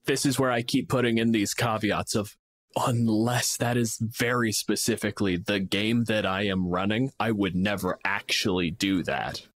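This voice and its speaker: A man's voice: fairly low pitch, moderate pace, a bit bright. A man in a casual tabletop gaming discussion as co-host, reasoning aloud and acting out short examples. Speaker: podcast co-host and game master.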